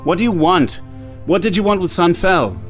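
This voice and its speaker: A man's voice: high voice, animated, moderate pace. Brusque, brooding outlaw man, music behind. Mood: brusque, tense. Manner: dramatic, brooding, brusque in dialogue. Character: brusque, wary hunted outlaw man on Mars. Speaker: narrator and lead actor. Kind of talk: pulp radio drama acting and first-person narration.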